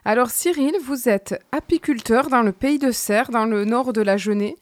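Higher-voiced woman hosting local radio. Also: moderate pace, no room sound. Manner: friendly, conversational questioning. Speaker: radio host. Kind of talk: radio interview.

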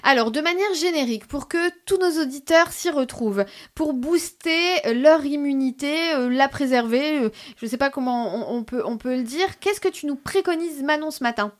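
A woman hosting a morning radio show, chatting in a bubbly, enthusiastic way with quick interjections. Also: high-pitched, animated. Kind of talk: upbeat radio chat.

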